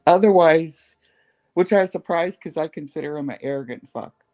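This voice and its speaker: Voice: mid-range voice, normal speed, warm tone. A panel host in casual chat who teases and speaks bluntly. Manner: teasing, blunt.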